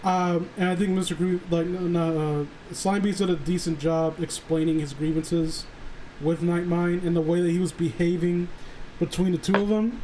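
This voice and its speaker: High-pitched man rambling casually, faint background noise. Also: fairly even intonation, mellow, rough recording. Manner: halting, rambling, often swearing. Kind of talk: casual chat on a livestream.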